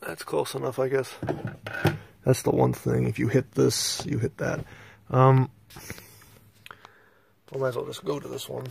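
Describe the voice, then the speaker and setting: Gravelly, flat-toned American man. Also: medium pitch, background noise. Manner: halting, rambling, informal. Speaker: host, hobbyist collector. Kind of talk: casual handheld video tour. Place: small bedroom, indoors. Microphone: handheld phone, speaker behind the camera.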